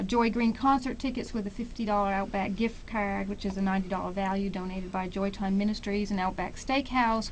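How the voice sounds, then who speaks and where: An American woman speaking as a radio interview guest, explaining things informally and a little haltingly as she promotes an event. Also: mid-range voice, a little hiss or hum, poor audio. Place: radio station.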